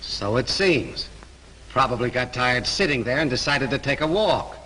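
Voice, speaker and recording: A gruff, wisecracking police detective in an early talkie. His voice has a sharp, forceful edge, and faint hiss from the old film soundtrack lies under it. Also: medium pitch, animated, normal speed, poor audio. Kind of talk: 1930s film acting.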